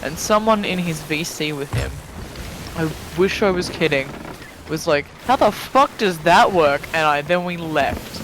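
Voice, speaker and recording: Male streamer, fairly high-pitched, casual animated storyteller, noticeable noise on voice chat. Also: measured pace. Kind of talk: casual banter during a game stream. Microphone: online voice chat.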